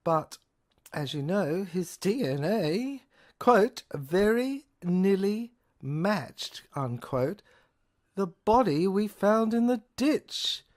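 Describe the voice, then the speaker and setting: A woman playing a rambling, sardonic lab technician who lectures haltingly in an audio drama, repeating and correcting herself and asking rhetorical questions. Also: medium pitch, lively intonation, a little mellow. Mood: explaining. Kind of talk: improvised-sounding lecture monologue in an audio drama.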